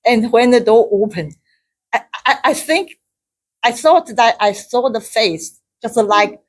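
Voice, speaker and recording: Halting Taiwanese woman telling a story. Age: middle-aged. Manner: halting, with many restarts and repeated words; laughs often, gets high and loud when excited. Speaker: club speaker. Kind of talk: personal story in a speaking club.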